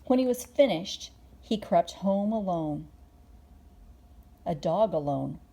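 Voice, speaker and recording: A woman reading a children's bedtime story aloud expressively, drawing out words and dropping into hushed moments. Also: on the low side, animated, takes it slowly. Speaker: storybook reader.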